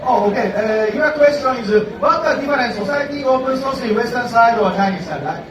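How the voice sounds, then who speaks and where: High-voiced Japanese man in an echoing hall. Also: crowd noise. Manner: halting, searching for words with many fillers. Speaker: conference speaker. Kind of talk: conference Q&A in halting English. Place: conference hall. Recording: echoey hall, distant mic, audience around.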